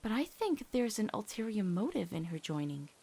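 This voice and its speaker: A woman voicing a gentle, steadfast teenage witch girl, speaking in a measured, reassuring way in a dramatic comic dub. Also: medium pitch, very animated, creaky, slight background noise. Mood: tender, admiring.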